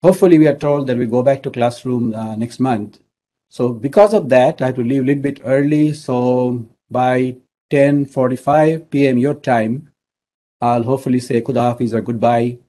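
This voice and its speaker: A middle-aged man from Bangladesh, an engineering professor, giving an online lecture in a measured way with many fillers. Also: medium pitch, mellow.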